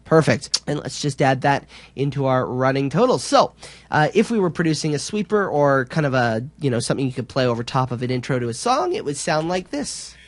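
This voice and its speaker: A man's voice: mid-range voice, very animated, a little fast, no echo. Casual man presenting a tutorial, close dry sound. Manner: informal, hesitant step-by-step explaining.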